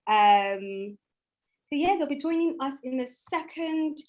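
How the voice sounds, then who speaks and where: A woman's voice: sits high, expressive, unhurried, darkish tone. A young woman hosting a student livestream, talking casually and informally as she shares advice. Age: young adult. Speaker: student host of a live online event. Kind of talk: casual, chatty livestream talk.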